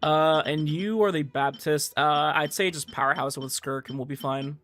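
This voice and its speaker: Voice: medium pitch, normal speed, a bit bright. A game streamer giving casual live commentary in an easygoing way, mumbling reassurances while playing. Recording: game music behind the voice. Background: video game music.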